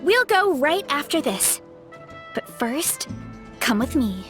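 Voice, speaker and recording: A sweet young farm girl with a high, lively voice. She sounds easygoing, modest and polite, in the manner of a fantasy video game character, with music behind her. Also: slow, heavily acted. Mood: friendly, easygoing.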